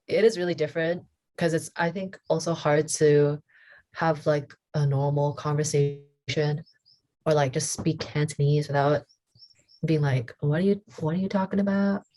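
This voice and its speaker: Young woman, low-pitched, podcast co-host, informal chat, rambling, self-deprecating. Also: darkish tone. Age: young adult. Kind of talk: casual chat.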